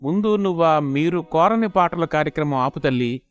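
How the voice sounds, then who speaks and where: A man's voice: a little high, lively intonation, moderate pace, clean tone, voice pushed up, close to an everyday voice. A man playing a lazy, henpecked husband who grumbles reluctantly and sarcastically in a comic audio story. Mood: resigned.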